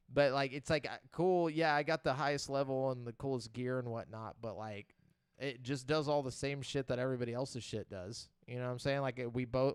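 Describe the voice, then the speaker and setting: A man's voice: medium pitch, clear, resonant tone, no echo, clean studio sound. A man hosting a casual gaming podcast, talking in a blunt, skeptical, sweary way. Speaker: podcast host.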